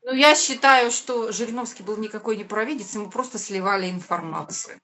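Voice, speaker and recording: A woman's voice: mid-range voice, brisk, sharp tone. A woman co-hosting a casual news chat, reading out viewer comments and adding short, plain remarks. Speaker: co-host.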